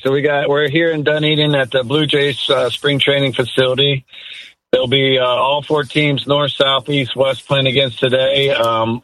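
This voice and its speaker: A man joining a radio show by phone as a guest, relaxed and friendly. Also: medium pitch, dry recording, rough recording. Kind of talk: casual chat. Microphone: phone call.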